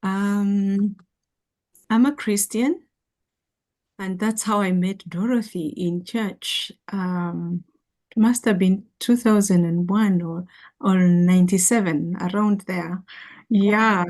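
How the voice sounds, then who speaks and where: A woman with a Kenyan accent, introducing herself gently and hesitantly in a group talk. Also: medium pitch, fairly even intonation, slow pace.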